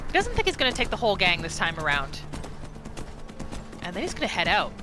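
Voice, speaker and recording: A woman narrates a roleplay game as its host, over clearly audible music. Her pitch moves around in an animated way. She builds suspense with short phrases and pauses. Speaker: host of a gaming roleplay series. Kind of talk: storytelling gameplay narration.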